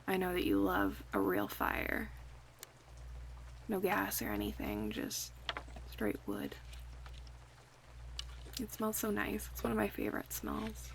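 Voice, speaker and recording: A woman playing an affectionate, chatty friend in a casual, intimate ASMR roleplay, speaking softly and in a relaxed way. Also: mid-range voice, slow pace, creaky, long pauses, full character voice, rough recording. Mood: welcoming, cozy. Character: affectionate young woman, cozy longtime friend hosting a night in. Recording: faint background ambience noise.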